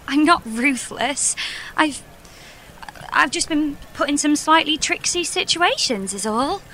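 Awkward young heroine woman acting, high bright voice, hesitant, deadpan, fed up, comedy audio drama. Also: takes it slowly, a little hiss or hum, exaggerated voice. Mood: reluctant. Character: reluctant, exasperated heroine daughter, awkward and unsure.

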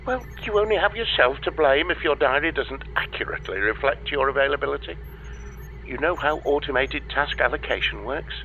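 A man's voice: a little high, animated, brisk, sharp tone, muffled recording, soft background music. A man playing an evasive, breezily unhelpful space-agency dispatcher in a comedy audio drama, talking over a radio link. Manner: breezy, evasive, hesitant when cornered.

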